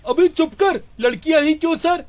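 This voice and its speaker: A man's voice: very high voice, moderate pace. A man voicing a cheeky, teasing young schoolboy in a storybook tale, with a whiny manner. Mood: teasing flattery. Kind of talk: Hindi horror cartoon story voice acting.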